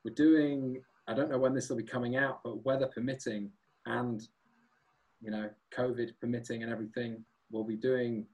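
A male musician guest with a voice of middling pitch. He rambles in a relaxed, easygoing way and pokes fun at himself. His chat is casual and unhurried. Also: poor audio. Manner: relaxed, rambling, self-deprecating. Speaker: guest, singer and guitarist in a band.

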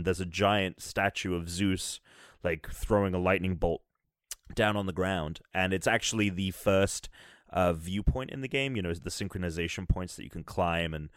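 A man's voice: deep voice, dry recording, clean studio sound. A man co-hosting a casual gaming podcast, talking loosely with restarts and fillers. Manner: rambling, many restarts. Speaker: podcast co-host, game reviewer.

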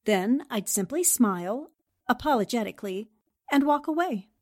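An actress playing a plucky young newspaper reporter in a radio crime drama, cool, quick-witted and dryly wry. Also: female voice, fairly high pitch, expressive, a little mellow. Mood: cool, disdainful. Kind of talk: old-time radio crime drama acting.

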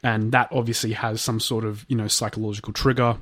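A man's voice: on the low side, little pitch movement, fast talker, fairly bright tone, no echo. A man co-hosting a casual true-crime podcast, a bit nasal from a blocked nose, rambling with lots of fillers and restarts. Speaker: podcast co-host.

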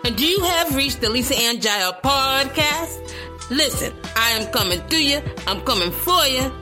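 A woman hosts her own podcast in a casual, playful way, and she laughs often. Her voice sits a little high and swings widely in pitch. She talks slowly, and faint music plays behind her. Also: expressive. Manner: playful, laughs often, says "listen" and "y'all" a lot. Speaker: podcast host. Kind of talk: casual solo podcast chat.

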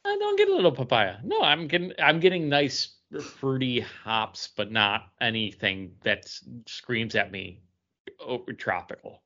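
A man co-hosting a casual podcast, thinking aloud in a halting, conversational way. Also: mid-range voice, sing-song, sharp tone. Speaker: podcast co-host. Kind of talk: casual chat. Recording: dull lo-fi recording.

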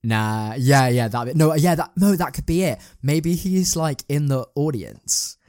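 A man co-hosting a podcast, chatting informally as he pitches ideas about staging a play. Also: lively intonation, unhurried, darkish tone, dry recording, clean studio sound. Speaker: podcast co-host. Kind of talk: casual creative discussion about staging a play.

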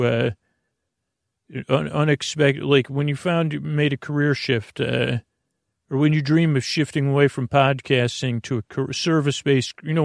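A man hosting a sleep podcast in an improvised, meandering monologue, halting, repeating words and trailing off into goofy tangents. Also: medium pitch, creaky, dry recording, studio quality. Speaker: podcast host.

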